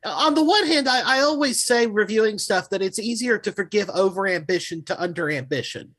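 A man's voice: high voice, expressive, fairly quick, a bit bright. A man hosting a casual panel review, talking in a wry, rambling way and often qualifying what he says. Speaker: podcast host reviewing audio dramas.

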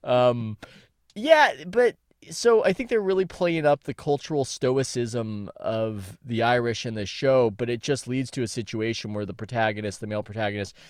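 A man's voice: mid-range voice, moderate pace, no room sound, clean studio sound. A man co-hosting a podcast, chatting casually with wry, joking asides. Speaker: podcast co-host. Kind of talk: casual comedic chat.

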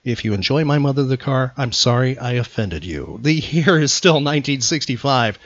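A man's voice: medium pitch, lively intonation, normal speed. A man hosting a casual trivia show in an upbeat, playful way. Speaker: radio show host. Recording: muffled lo-fi audio.

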